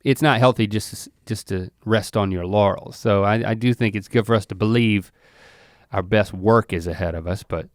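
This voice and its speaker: An easygoing man in a casual chat, talking in a relaxed, philosophical way. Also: fairly low pitch, moderate pace, no room sound, clean studio sound.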